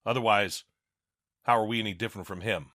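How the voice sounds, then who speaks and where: A man playing a principled police captain who speaks calmly and firmly. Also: lowish voice, fairly quick, no echo, studio quality. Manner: calm, firm.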